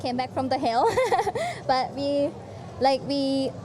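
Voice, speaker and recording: Shy Thai woman pro gamer, halting English. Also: sits high, very animated, noisy background. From Thailand. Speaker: esports player.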